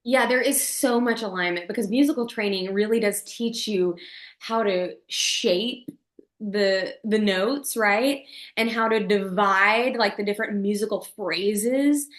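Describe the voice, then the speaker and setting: A woman who coaches voice and charisma, speaking as a podcast guest with an expressive delivery that swings her pitch and loudness for emphasis. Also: unhurried. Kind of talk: podcast interview. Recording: roomy echo.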